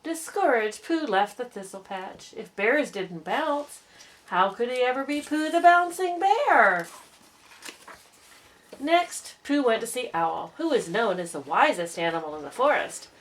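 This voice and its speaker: Slow, animated woman reading stories. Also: a little high. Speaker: children's story reader. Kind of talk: expressive read-aloud storybook narration.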